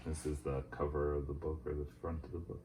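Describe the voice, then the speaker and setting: A man, a teacher, reading a children's picture book aloud in an expressive storytelling style. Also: extremely low pitch, brisk, a little hiss or hum.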